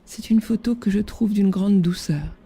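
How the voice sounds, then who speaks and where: A woman's voice: mid-range voice, moderate pace, dark tone, breathy edge. A woman giving a reflective, descriptive narration.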